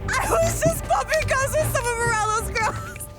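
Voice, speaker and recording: Very high, agitated American woman. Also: normal speed, over loud music. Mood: pleading fearfully. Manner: defensive, agitated, slangy. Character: frightened, defensive young 1930s American brothel girl. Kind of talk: cinematic video game dialogue.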